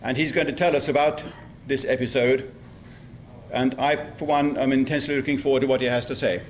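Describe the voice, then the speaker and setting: A man acting as host, giving a formal, measured introduction of a speaker. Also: mid-range voice, fairly even intonation, slight background noise.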